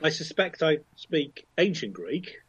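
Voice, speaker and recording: A man's voice: a little high, big pitch swings, measured pace, crowd noise. A man playing a tabletop game, hesitant and stumbling over his restarts. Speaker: player. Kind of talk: casual tabletop play.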